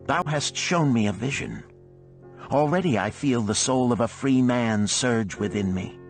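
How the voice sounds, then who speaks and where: A man's voice: mid-range voice, a little slow, vocal fry, soft background music, pitched above the performer's own voice. A man voicing an eager, inspired youth in a story, speaking with fervent, formal archaic phrasing. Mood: inspired resolve. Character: eager, inspired young male listener.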